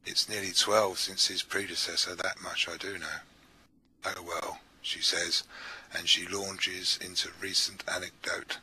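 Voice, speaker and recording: A man's voice: low-pitched, flat delivery, measured pace, sharp tone, slightly rough. A man reading poems aloud to listeners as a recital. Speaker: poetry reader at a live event. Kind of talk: poetry recital.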